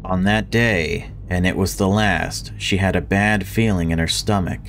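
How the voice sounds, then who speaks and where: A man's voice: deep voice, steady tone, measured pace, background noise. A man reading visual novel story text aloud and adding casual commentary as a gaming video creator.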